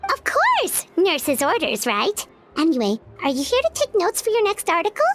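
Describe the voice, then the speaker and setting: A woman's voice: extremely high pitch, huge pitch swings, moderate pace, over music, full character voice. A woman voicing a small, bubbly female fairy companion in a game, who talks about herself in the third person. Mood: chirpy. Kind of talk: game cutscene voice acting.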